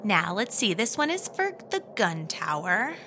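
Brief: actress as accommodating, folksy woman inmate, medium pitch, clear background noise. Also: sing-song, a little slow. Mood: cheerful, helpful. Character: friendly, helpful, chirpy female prison worker Cuddles. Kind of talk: comedy audio drama acting.

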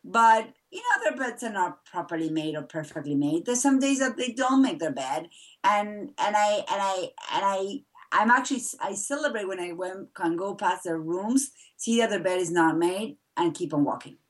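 A woman's voice: mid-range voice, lively intonation, moderate pace, far from the mic. A middle-aged woman from Argentina, a mother of four, chatting as an interview guest with frequent laughs and fillers. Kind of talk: casual interview chat.

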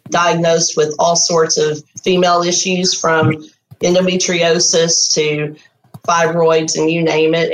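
A woman's voice: deep voice, reverberant, a little hiss or hum, rough recording. A woman in her forties with a Texan accent, a guest sharing her health story in an earnest, candid way. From Texas. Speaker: guest, program graduate. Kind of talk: personal testimony.

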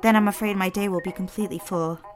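A woman's voice: mid-range voice, fairly even intonation, fast talker, faint music behind, natural voice. A woman playing an eager, lonely society housewife in a period audio drama, genteel and coaxing in manner. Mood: gentle, managing.

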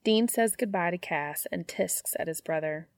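A woman's voice: lowish voice, normal speed, dry recording. A woman reading fan fiction aloud as scripted story narration.

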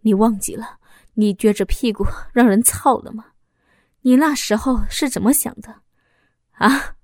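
A woman's voice: mid-range voice, fairly even intonation, unhurried, dark tone, no room sound, clean studio sound, natural voice. A woman voicing a heartbroken, bitter husband in a storybook reading. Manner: bitter, sneering. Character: heartbroken bitter husband, middle-aged man.

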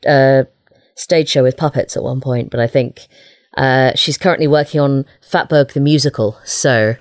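A woman with a low-pitched voice, recorded very dry. She talks casually as an interview guest. Her manner is informal and a little self-deprecating. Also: moderate pace, darkish tone, no echo. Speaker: writer and illustrator, interview guest.

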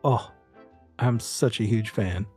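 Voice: mid-range voice, slow, mellow, faint music behind, close to an everyday voice. A game master voicing a starstruck male small-town hotel desk clerk, eager to please and fawning, in improvised tabletop roleplay.

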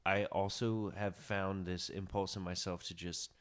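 Laid-back low-voiced male podcast host. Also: flat delivery, a little slow. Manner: laid-back, musing, trails off into low mutters. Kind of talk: casual podcast conversation. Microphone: studio podcast mic.

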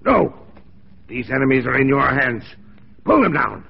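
An actor playing a villainous, imperious rebel leader inciting war, harsh and gravelly, in a 1940s radio drama. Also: male voice, mid-range voice, sing-song, unhurried, heavily acted. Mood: demanding. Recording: dull vintage radio recording with music.

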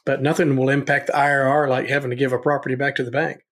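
A middle-aged man with a Southern US accent, a guest in an interview, talking earnestly and haltingly with many restarts. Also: medium pitch, level tone, fairly bright tone. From Tennessee, USA. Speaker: guest, real estate investor. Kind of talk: casual interview talk.

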